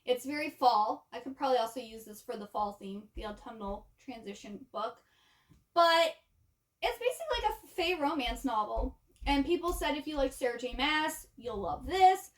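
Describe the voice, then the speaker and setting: Female book vlogger, high-pitched, casual conversational delivery, informal and chatty. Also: expressive, normal speed. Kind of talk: casual chat to camera.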